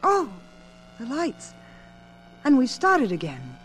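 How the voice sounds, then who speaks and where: A woman's voice: sits high, wildly swooping pitch, takes it slowly, slight background noise, exaggerated voice, poor audio. An actress in a radio drama playing an anxious, earnest married woman who speaks politely and pleadingly. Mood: hushed, sympathetic.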